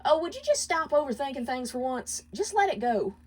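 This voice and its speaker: A woman plays a scolding imp wife in a storybook drama. She is quick-tempered, defensive and dismissive, her voice raised high and snappy. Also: very animated, a little mellow. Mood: angry, dismissive. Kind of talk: dramatic storybook character reading.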